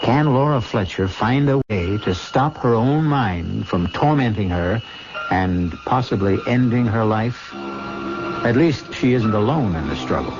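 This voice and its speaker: A low, ominous mystery host. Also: male voice. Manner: ominous, theatrical. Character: ominous male storyteller host of mystery tales. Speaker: host and narrator. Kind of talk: old-time radio scripted narration. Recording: muffled old radio broadcast. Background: music.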